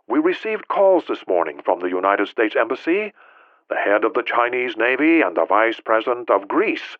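A man voicing a quiet, stern, coldly formal head of state through a telephone filter in an audiobook story. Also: mid-range voice, no room sound. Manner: measured, formal, coldly authoritative. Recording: tinny band-limited phone-line effect.

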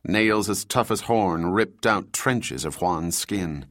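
A man narrating a thriller audiobook in a steady, even reading voice. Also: low voice, slow, no echo, clean studio sound.

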